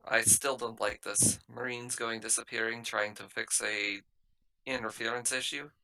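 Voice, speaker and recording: Male tabletop player, strikingly bright and forward voice, casual talk moving in and out of character. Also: mid-range voice, level tone, normal speed, slight background noise, rough recording. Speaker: roleplaying game player, playing the captain.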